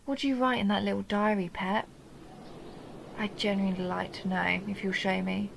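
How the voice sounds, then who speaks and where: A woman's voice: medium pitch, steady tone, normal speed, creaky, noisy background. A woman playing a seductive, possessive vampire countess who teases and condescends in an intimate audio roleplay monologue. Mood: coaxing, wistful. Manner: teasing, mocking, intimate purring address.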